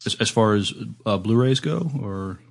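A man's voice: on the low side, lively intonation, normal speed, gravelly, slight background noise. A man in casual conversation, speaking in a mild, somewhat hesitant way. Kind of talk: casual chat.

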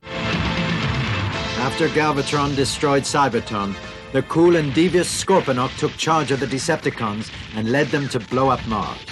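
Solemn man as a cartoon narrator over loud music. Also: medium pitch, expressive, a little slow, lightly acted. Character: solemn male narrator of a space-war cartoon. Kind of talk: scripted cartoon dub narration. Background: prominent music.

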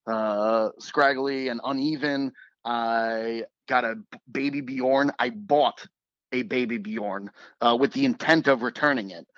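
A man with a slightly raspy voice, a radio producer chatting casually as a guest, relaxed and joking with fillers and restarts. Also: medium pitch, no room sound, dull audio. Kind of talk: casual interview chat.

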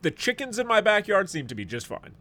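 A man's voice: high-pitched, sing-song, brisk, sharp tone. A middle-aged man hosting a podcast in casual chat, a bit stuffed up and sniffly, rambling and joking. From United States. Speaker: podcast host.

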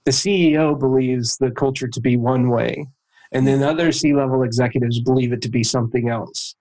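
A man's voice: medium pitch, steady tone, fairly quick, a little mellow, poor audio. A man hosting an interview podcast, casually thinking aloud as he builds his questions.

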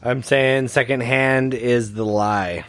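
A man's voice: medium pitch, fairly even intonation, slow pace, fairly bright tone, soft background music. A man on a casual panel, throwing in crude, blunt jokes. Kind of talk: casual podcast banter.

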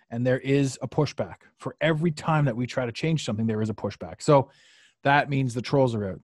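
A man hosting a live podcast, talking casually and rambling, repeating phrases and filling pauses with "uh.". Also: mid-range voice, a little mellow, no echo, clean studio sound. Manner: rambling, repeats himself, many fillers. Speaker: podcast host. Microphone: podcast mic on a live stream.

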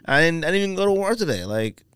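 Man, podcast host, lively intonation, slangy, opinionated, casual chat, dry close recording. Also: fairly high pitch, fast talker, clean tone, dry recording. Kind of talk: casual sneaker banter.

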